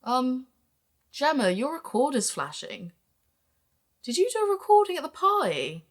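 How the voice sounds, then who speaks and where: A woman's voice: high voice, huge pitch swings, a little slow, full character voice. A woman playing a chatty, teasing young podcaster who investigates crimes in an audio drama.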